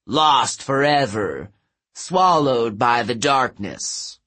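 A man voicing a huge, cruel male giant in a storybook, growling out slow, drawn-out taunts. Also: medium pitch, expressive, bright, forceful tone, heavily acted. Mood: menacing.

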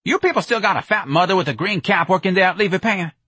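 A man voicing a brash, excitable male telephone caller with loud, colloquial speech. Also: high-pitched, quick pace, breathy edge, no echo, pitched above the performer's own voice, full character voice. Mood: insistent.

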